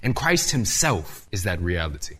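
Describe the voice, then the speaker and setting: Young man, preacher, slightly raspy, earnest, animated pitch, sermon to a congregation. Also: moderate pace. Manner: earnest, lively delivery with rising emphasis. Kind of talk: sermon with scripture reading. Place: church hall with audience.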